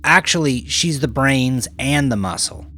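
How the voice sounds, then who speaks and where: A man's voice: medium pitch, animated, unhurried, sharp tone, a little hiss or hum, voice pushed up. A man voicing an easygoing, joking male police detective in a crime audiobook, breezy and placating. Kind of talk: audiobook character voice.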